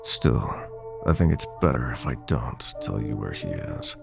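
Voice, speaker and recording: Casting: predatory, seductive dark god for roleplay audio, extremely low and unhurried, teasing, music underneath. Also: male voice, sing-song, gravelly, heavily acted. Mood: gloating. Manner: slow, theatrical, teasing drawl. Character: sinister seductive nightmare god, predatory, gloating, possessive male. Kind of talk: roleplay audio drama, ASMR.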